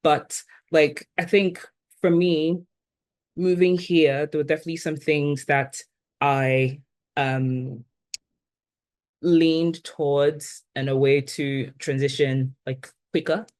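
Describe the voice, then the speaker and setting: A woman in her twenties from Ghana, with an accent that blends Ghanaian and British, reflecting thoughtfully as an interview guest and often pausing on "like" and "um". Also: sits low, fairly even intonation, darkish tone. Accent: blend of Ghanaian and British, now neutralized. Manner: thoughtful, with many "like" and "um" fillers.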